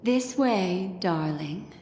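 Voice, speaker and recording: Slow, elegant, enigmatic woman mystic. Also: fairly low pitch, expressive, a little mellow, echoey room, slight background noise. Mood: teasing, relieved. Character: elegant, enigmatic fortune-teller woman, keeper of memories. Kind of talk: video game story dialogue.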